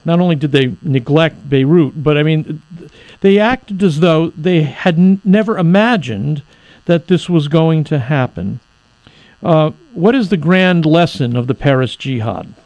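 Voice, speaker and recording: Earnest higher-voiced male radio host. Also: expressive, measured pace, a little mellow, dry recording, low-quality recording. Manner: earnest, exasperated questioning with restarts. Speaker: radio talk show host. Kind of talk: radio interview.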